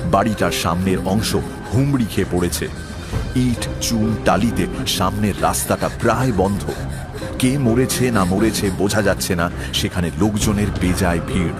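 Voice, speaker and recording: A man's voice: lowish voice, normal speed. A man reading a scripted story in a dramatic narrating style. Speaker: narrator of an audio horror and adventure story. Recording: loud music bed under the voice.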